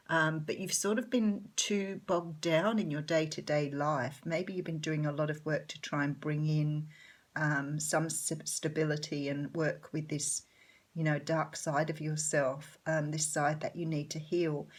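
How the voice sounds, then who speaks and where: Australian woman, low and a bit croaky, reflective solo tarot reader. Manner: gentle, reflective, frequent ums. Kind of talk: solo tarot reading, explaining cards.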